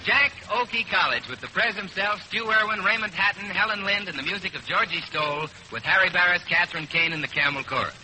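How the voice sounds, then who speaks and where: A man's voice: sits high, animated, fairly quick, few pauses. A man announcing in a polished, projected 1930s radio style. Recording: noisy 1930s radio broadcast recording.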